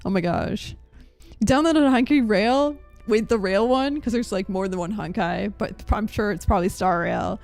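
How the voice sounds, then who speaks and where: A young woman game streamer chatting casually with her viewers in an expressive, meandering way. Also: medium pitch, normal speed, vocal fry. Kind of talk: casual live-stream chat. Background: faint game music.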